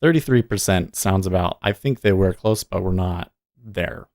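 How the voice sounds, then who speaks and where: A man's voice: low-pitched, normal speed, a little mellow, dry recording, clean studio sound. A man hosting a day trading livestream, chatting casually and thinking aloud, often muttering to himself between points.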